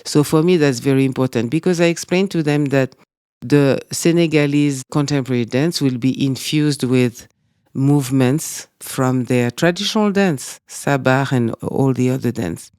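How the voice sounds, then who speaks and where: A man with a French accent speaking as a guest in an interview, talking in a measured, reflective way. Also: medium pitch, darkish tone, clear, resonant tone, dry recording, studio quality. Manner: measured, reflective, with frequent "you know". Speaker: guest, dance school director. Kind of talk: conversational interview.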